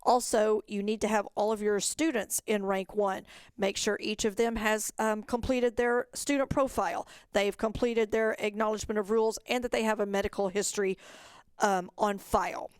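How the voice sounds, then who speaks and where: A woman's voice: mid-range voice, fairly even intonation, moderate pace, clear, resonant tone, dry recording. A woman giving an informational webinar presentation, explaining step by step in an instructional way. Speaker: program staff presenter.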